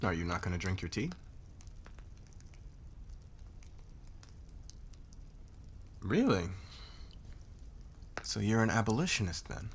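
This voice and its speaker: A man playing a demanding, calculating corporate boss in a scripted ASMR roleplay, with a sardonic, deliberate, commanding manner. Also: fairly low pitch, very animated, heavy background noise. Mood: probing, sardonic.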